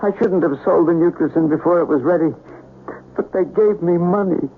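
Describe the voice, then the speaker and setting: Actor as high-strung, haunted male scientist, tinny radio. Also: lightly acted. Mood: anguished confession. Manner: fervent, halting, repeats himself. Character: guilt-ridden scientist doctor, haunted and pleading for forgiveness. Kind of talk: radio mystery drama acting. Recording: thin, faintly hissy old radio broadcast.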